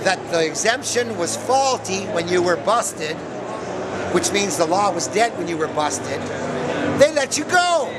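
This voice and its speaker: A man giving an animated speech at an outdoor expo, telling stories and acting out the people he quotes. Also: sits high, unhurried. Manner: storytelling, acting out quoted officials in raised voices. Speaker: speaker at an expo. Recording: loud crowd and background noise outdoors.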